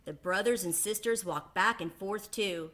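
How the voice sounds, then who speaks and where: Woman, bright voice, teacher, children's storybook read-aloud. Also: mid-range voice, moderate pace.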